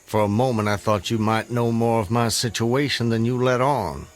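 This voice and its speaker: An actor playing a proud, resolute Southern planter of the Civil War in a radio drama, speaking formally and gravely. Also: male voice, medium pitch, fairly even intonation, moderate pace, close to an everyday voice. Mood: guarded, bitter. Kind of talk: radio drama acting, narrating and dialogue.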